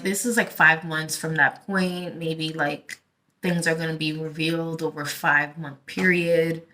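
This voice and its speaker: A woman from the Dominican Republic talking casually to her viewers as a tarot channel host, in a halting, rambling way as she thinks aloud. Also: fairly low pitch, bright, forceful tone. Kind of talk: casual vlog talk to viewers.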